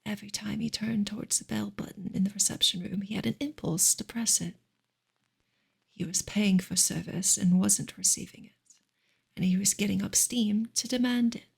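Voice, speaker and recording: A woman whispering a novel aloud as a soft ASMR reading, in a hushed, gentle and breathy voice with creaky fry. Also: medium pitch, fairly even intonation, moderate pace. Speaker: ASMR reader.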